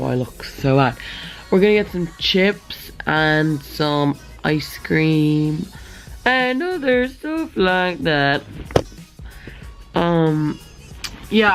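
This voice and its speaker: A hoarse young woman chatting casually in a vlog, rambling with lots of fillers, filmed outdoors on a handheld camera. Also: on the low side. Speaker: vlogger. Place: outdoors on a sunny day. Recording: background music playing under voice.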